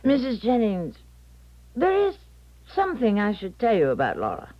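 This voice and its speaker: A woman's voice: mid-range voice, huge pitch swings, moderate pace. Troubled clairvoyant woman, dramatically swooping voice. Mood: earnest confiding. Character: troubled, weary, secretive woman clairvoyant, hushed and evasive. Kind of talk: 1970s radio drama acting. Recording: dull lo-fi 1970s radio broadcast.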